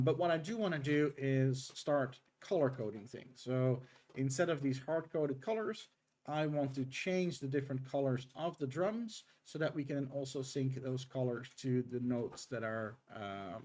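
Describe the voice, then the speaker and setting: A middle-aged man with a tired throat, streaming live game development and talking through his code in halting, unhurried steps. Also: medium pitch, a little mellow. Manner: halting, thinks aloud with many pauses and um's. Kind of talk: live coding stream, thinking aloud.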